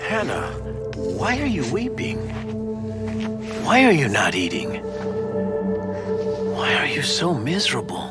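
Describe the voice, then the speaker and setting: An actor playing a tender husband who gently questions his wife in a dramatized scripture reading, with loud music beneath. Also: on the high side, wildly swooping pitch, takes it slowly, pauses often, full character voice. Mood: pleading. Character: tender, pleading middle-aged husband.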